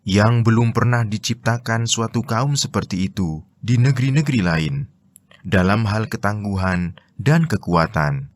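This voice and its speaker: A man with an Indonesian accent narrates scriptural commentary in a formal, read-out style. His voice sits fairly low, and the recording is dry and close, free of room echo. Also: expressive, measured pace.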